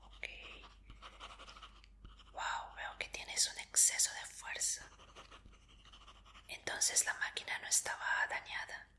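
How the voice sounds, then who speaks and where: A woman voicing a gentle, attentive medical examiner in a soothing, breathy whispered ASMR roleplay, giving polite instructions. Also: takes it slowly, long pauses. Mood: calmly encouraging.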